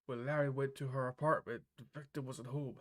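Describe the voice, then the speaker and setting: A man's voice: medium pitch, fairly even intonation, brisk. A man reading a visual novel's dialogue and narration aloud informally, now and then stumbling over the words. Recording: music under the voice. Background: game music.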